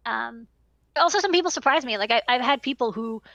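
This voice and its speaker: Casual female livestream explainer, thirties, higher-pitched with marked vocal fry, emphatic, close dry headset sound. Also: expressive, fairly quick, fairly bright tone, no room sound, muffled recording. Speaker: electronics business owner giving advice. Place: small indoor room.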